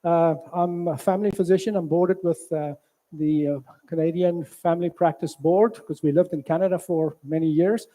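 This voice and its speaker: A man in his sixties from South Africa with a South African accent, a physician giving a halting health talk at a church, with many fillers. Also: fairly high pitch, steady tone, dark tone, low-quality recording. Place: church hall.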